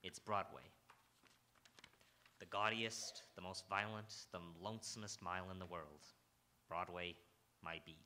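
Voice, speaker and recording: Low, hard-edged radio-play detective played by a man, world-weary and blunt, terse dramatic lines, live stage with faint noise. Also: steady tone, measured pace, long pauses. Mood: wistful narration. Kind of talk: old-time radio crime drama acting.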